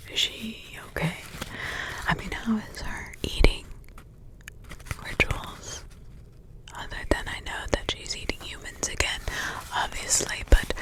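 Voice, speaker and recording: An ancient, wry witch mother, played by a woman in an ASMR roleplay, who whispers everything softly. She talks very slowly, pondering aloud and humming to herself between thoughts. Her pitch sits in a middle range. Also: rough recording. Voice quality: soft whisper throughout. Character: centuries-old witch mother, musing and wry.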